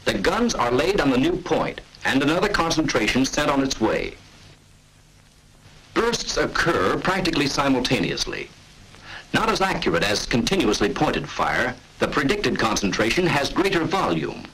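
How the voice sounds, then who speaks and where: A man giving scripted, measured and authoritative narration for a 1940s military training film. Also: mid-range voice, big pitch swings, fairly bright tone, slightly rough, low-quality recording.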